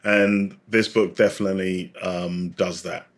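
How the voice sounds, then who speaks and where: A man's voice: deep voice, flat delivery, measured pace. Man explaining things as podcast guest. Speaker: podcast guest, bartender and author. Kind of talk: conversational interview explanation.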